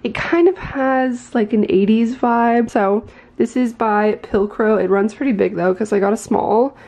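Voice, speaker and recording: A woman's voice: on the high side, steady tone, unhurried, warm tone. A woman in her twenties chatting casually into her phone camera at home, rambling a little and laughing now and then. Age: 20s. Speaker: vlogger. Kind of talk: casual vlog chat. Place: home bedroom, indoors. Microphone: handheld phone camera.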